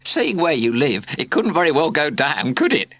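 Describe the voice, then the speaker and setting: Self-pitying mountain postman, high and lively, put on by a man. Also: normal speed, dry recording. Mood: joking. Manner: lamenting, self-pitying, jokey. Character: weary, complaining male mountain postman.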